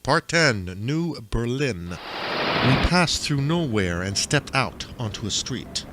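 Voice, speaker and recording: Man narrating with big theatrical pitch swings. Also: big pitch swings, slow, background noise. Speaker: author reading own stories. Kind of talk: dramatic audiobook narration of surreal first-person fiction.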